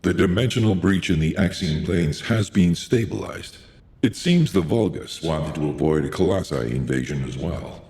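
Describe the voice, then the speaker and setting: An actor playing a grave, composed military commander in a video game, speaking in a measured, solemn, authoritative way with a gravelly voice. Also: male voice, deep voice, a little mellow. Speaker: game character. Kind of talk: scripted video game dialogue. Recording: roomy echo.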